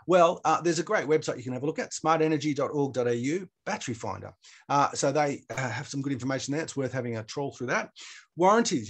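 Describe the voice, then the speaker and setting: A man's voice: a little high, husky. A middle-aged man explaining things informally and plainly in a webinar presentation, on a video call. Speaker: presenter from an energy foundation.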